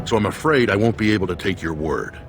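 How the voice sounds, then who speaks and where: A man's voice: fairly low pitch, lively intonation, quick pace, faint music behind. An actor playing an earnest, guarded dwarf nobleman's loyal second, speaking formally and with measure in a fantasy game. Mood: courteous, guarded. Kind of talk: dramatic fantasy game acting.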